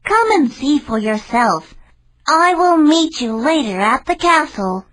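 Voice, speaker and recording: A man's voice: very high-pitched, very animated, unhurried, a bit bright, voice pushed up, exaggerated voice. A man voicing a clever, scheming male cat in a storybook reading, with an eager, persuasive manner. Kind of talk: storybook character voice.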